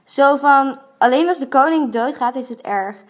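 A woman's voice: high voice, moderate pace, close to an everyday voice. A woman playing a brave, thrill-seeking princess in amateur radio drama, with a plain, casual delivery. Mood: casual, independent.

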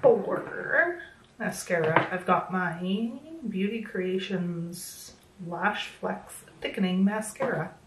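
A woman's voice: on the low side, slow pace, a little hiss or hum. A woman in her thirties chatting casually through a makeup tutorial at home, self-deprecating and full of fillers, her voice a little worn. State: slightly losing her voice. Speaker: makeup vlogger. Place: small home room, indoors. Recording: roomy echo, a bit distant from the mic.

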